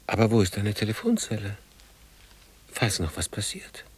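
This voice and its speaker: An actor playing a haunted, defiant, drunken war survivor in a radio drama, husky and breathy, swinging between murmurs and outbursts. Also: male voice, fairly low pitch, big pitch swings, moderate pace, heavily acted. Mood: bewildered. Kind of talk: poetic radio drama acting.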